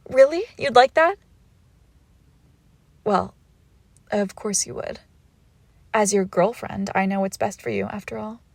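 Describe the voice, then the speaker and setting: A woman's voice: medium pitch, expressive, a little slow, creaky, pauses often, no echo. Woman acting a teasing vampire girlfriend, crackly close voice. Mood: playful smug.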